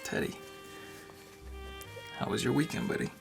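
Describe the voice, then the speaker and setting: A man's voice: moderate pace, over music, lightly acted. A man playing a friendly, nosy bartender in an ASMR noir radio drama, talking in a hesitant, chatty half-whisper full of uhs. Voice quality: gravelly low whisper.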